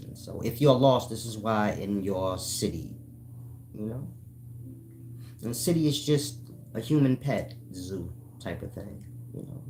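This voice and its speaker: Deep-voiced American man, blunt street-casual monologue. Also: darkish tone, a little hiss or hum. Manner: colloquial, blunt, swearing, often checks listeners are following. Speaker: online spiritual teacher and channel host. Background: music.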